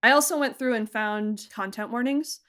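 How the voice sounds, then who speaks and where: A woman's voice: on the high side, moderate pace, fairly bright tone. A woman being interviewed as a producer, chatting casually in a self-effacing way and prone to laughing through her words.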